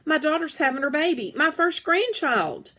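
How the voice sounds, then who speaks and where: A woman's voice: high voice, moderate pace, voice pushed up, heavily acted. A woman voicing a flustered, antsy, gushing female teacher in a children's storybook. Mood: overjoyed, frantic. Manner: gushing, excitable.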